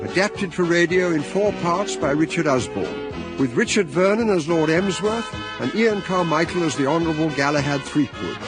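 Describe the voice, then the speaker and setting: A man's voice: fairly high pitch, moderate pace, over music. An Englishman narrating a radio comedy drama from a script in a wry, storytelling manner.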